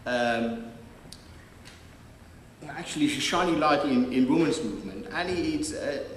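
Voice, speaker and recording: Halting man in an echoey hall. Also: fairly high pitch, lively intonation. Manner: halting, many fillers and restarts. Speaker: panel moderator. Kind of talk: hesitant live introduction. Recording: distant mic, echoey hall, faint noise.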